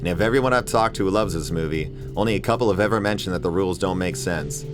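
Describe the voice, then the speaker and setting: A man's voice: sits low, quick pace, loud music behind the voice. A man hosting a movie review video, giving comedic commentary in a wry, mock-exasperated way with chuckles.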